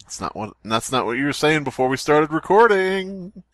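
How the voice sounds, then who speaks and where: Animated, theatrical man reading aloud. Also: on the high side, normal speed, no room sound, clean studio sound. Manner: theatrical, jokey, swears casually. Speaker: podcast host reading a story aloud. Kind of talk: dramatic story reading mixed with joking banter.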